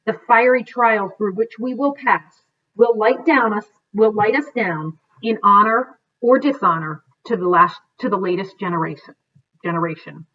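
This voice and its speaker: A middle-aged woman reading a history book aloud like a teacher, in a careful manner, recorded at home on a computer microphone. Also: mid-range voice. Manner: careful reading with stumbles and self-corrections. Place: living room at home, indoors. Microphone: computer webcam microphone at desk distance. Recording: dull, lo-fi webcam sound.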